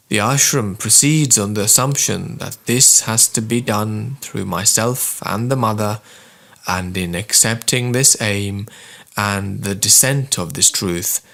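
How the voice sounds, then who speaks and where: A man narrates a prepared text in a fairly low voice with plenty of gravelly creak. His delivery is formal and measured, with deliberate phrasing, like an audiobook of spiritual writings. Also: a bit bright. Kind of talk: scripted narration, audiobook-style reading of spiritual texts.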